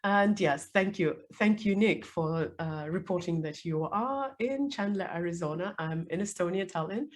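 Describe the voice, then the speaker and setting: A woman with a hoarse, worn voice from being sick, hosting a live online interview over a video call, chatty with many fillers. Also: lowish voice. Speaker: host. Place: home, calling in.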